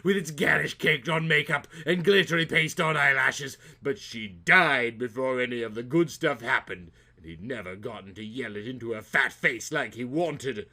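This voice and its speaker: A man's voice: mid-range voice, normal speed, few pauses, bright, forceful tone. A man narrating a comic story in a theatrical, mock-serious audiobook style.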